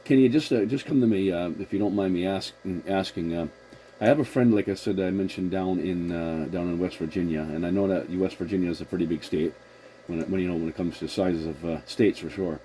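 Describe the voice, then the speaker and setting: Low, mellow-voiced man on radio. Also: fairly even intonation, a little fast, a little hiss or hum, poor audio. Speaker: CB radio operator hosting the contact. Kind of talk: casual radio chat between operators.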